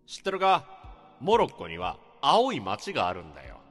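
A man's voice: mid-range voice, wildly swooping pitch, moderate pace, fairly bright tone, faint music behind. An actor playing a desperate male office senior in a radio drama, who breaks down as he confesses. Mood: wistful. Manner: breaks down sobbing and wailing. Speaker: older coworker who confesses to a killing.

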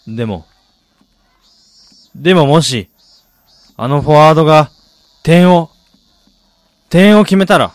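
An actor playing a weary, reflective middle-aged man in a radio drama, recounting his losses in a measured, confessional way. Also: fairly high pitch, animated, slight background noise. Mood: tense, hopeful. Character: weary man who lost family, confessional, brooding. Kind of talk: Japanese radio drama acting.

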